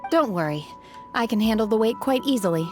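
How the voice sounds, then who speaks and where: A woman playing an aloof, calm young woman in a video game, speaking in a composed, detached and formal way. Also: a little high, a little fast, loud music behind the voice, lightly acted. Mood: calm reassurance. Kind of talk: restrained game voice acting.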